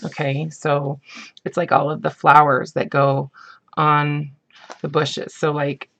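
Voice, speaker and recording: A woman talks in a relaxed, rambling way, full of ums and likes. Her voice is husky from the morning, with a gravelly fry underneath. She sounds like a casual crafter showing her haul. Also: deep voice, takes it slowly. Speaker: craft vlogger. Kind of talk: casual show-and-tell chat.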